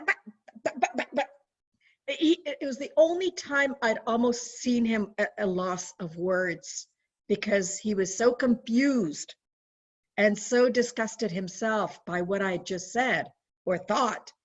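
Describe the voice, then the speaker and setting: Slow-talking woman on a video call. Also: mid-range voice. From Canada. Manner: chatty, animated when quoting, frequent restarts. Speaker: webinar guest. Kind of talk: casual storytelling recollection. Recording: dull lo-fi video call audio.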